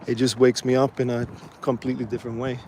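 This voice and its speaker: A man's voice: medium pitch, fast. Swedish-born man rambling on a walk. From Sweden. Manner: relaxed, rambling with many fillers and restarts. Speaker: vlogger. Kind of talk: casual live-stream walking vlog. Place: outdoors by the water in a city. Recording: faint outdoor street noise.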